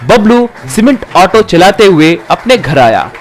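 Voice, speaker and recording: A man's voice: high voice, very animated, unhurried, soft background music, a faint crowd. A man reading a scripted moral tale as a storytelling narrator.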